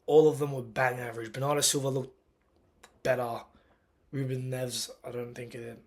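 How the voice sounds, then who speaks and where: A man who has lost his voice, giving a casual post-match reaction rant as a football fan. He is agitated and emphatic, and his voice climbs in pitch and loudness when he gets angry. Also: level tone, moderate pace, a little hiss or hum. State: hoarse, lost voice.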